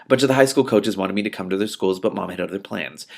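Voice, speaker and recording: Male book reader, low register with heavy vocal fry, expressive delivery, given to off-the-cuff reactions. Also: quick pace. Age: adult. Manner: animated, reacts aloud to the text and breaks off to comment. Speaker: reader and commentator of a book read-along show. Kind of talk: expressive read-aloud of a novel with spontaneous asides.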